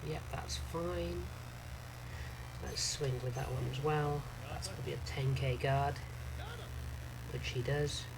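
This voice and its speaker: A man's voice: medium pitch, fairly even intonation, slow, long pauses. A man streaming a card game live, chatting casually, thinking aloud over his moves and answering chat. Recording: noisy background ambience.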